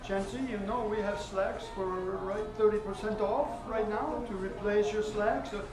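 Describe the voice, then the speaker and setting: A man's voice: high voice, steady tone, normal speed, lightly acted. An actor playing a dry, snarky, grumpy male clothing salesman with needling patter in a 1940s radio drama. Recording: roomy, far from the microphone.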